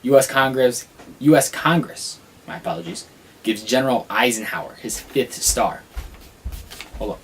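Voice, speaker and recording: A man's voice: mid-range voice, animated, moderate pace, vocal fry, long pauses, fairly bright tone, slight background noise. A man playing a news anchor in a casual student skit, loose and stumbling over his words.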